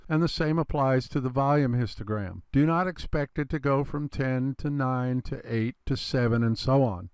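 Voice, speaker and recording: A man with a slightly congested, gravelly voice, explaining a trading chart in a relaxed lesson and calling his viewers "you guys". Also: medium pitch, no room sound. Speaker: trading educator. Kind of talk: screen-recorded trading lesson.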